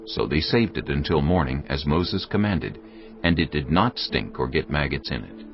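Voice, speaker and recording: Deep, gravelly male narrator. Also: lively intonation, normal speed. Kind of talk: scripted narration of dramatized scripture. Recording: dull band-limited audio with music.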